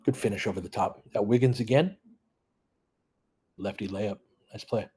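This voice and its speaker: A man's voice: fairly low pitch, normal speed, a little mellow, husky. A man hosting a casual live basketball play-by-play, chatting informally with listeners and analysing play as it happens. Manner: conversational, analytical, informal with mild swearing. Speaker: host of a fan audio play-by-play stream.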